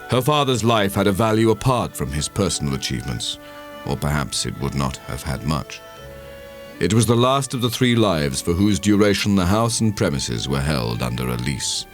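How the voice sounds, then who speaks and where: A man narrating a classic novel as a dramatic, theatrical audiobook reading with measured storytelling. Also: extremely low pitch, sing-song, faint music behind. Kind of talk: dramatic scripted literary narration.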